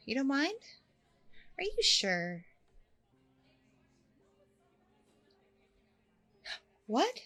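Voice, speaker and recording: A woman playing a chatty, candid, self-deprecating single mom on a blind date in a casual audio roleplay, rambling informally with a creaky voice. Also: high voice, a bit bright, heavily acted. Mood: surprised. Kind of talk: ASMR audio roleplay, casual conversational acting.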